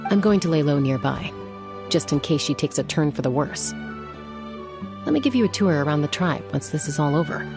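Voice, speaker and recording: A composed female warrior in a video game. She explains things in a measured, matter-of-fact manner, protective yet frank. Faint music runs underneath. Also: deep voice, expressive. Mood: calm, kindly. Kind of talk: video game dialogue acting.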